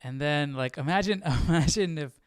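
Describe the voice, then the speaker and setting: A man's voice: fairly high pitch, normal speed, clear, resonant tone, no echo, clean studio sound. A man hosting a live Bible study, informal and curious, thinking aloud as he chats and reads. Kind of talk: casual livestream chat and reading aloud.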